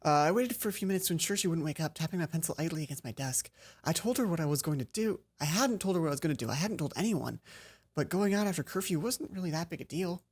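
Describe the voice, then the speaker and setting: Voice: mid-range voice, lively intonation, quick pace, breathy edge. A let's player reading visual novel narration aloud, stumbling and rereading lines, with casual asides. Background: soft game music.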